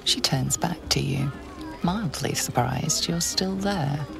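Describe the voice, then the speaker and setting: Deep, creaky female fantasy narrator. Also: music in the background, heavily acted. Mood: hushed, mysterious. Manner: slow, intimate, dramatic. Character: hushed, knowing female storyteller of a fantasy tale. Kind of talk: scripted game narration in second person.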